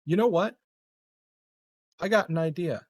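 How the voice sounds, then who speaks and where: A man's voice: sits high, a little slow, crowd noise. A man playing a tabletop game, chatting casually and thinking aloud in a hesitant way.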